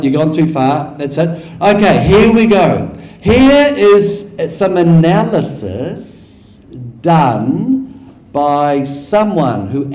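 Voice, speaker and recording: A man with a New Zealand accent lectures slowly to a hall audience, his voice sitting a little high and ringing off the room's echoey walls. He speaks in rhetorical runs of repeated phrases and keeps quizzing the listeners directly. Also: warm tone. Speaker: guest lecturer. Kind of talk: conference lecture with audience questions.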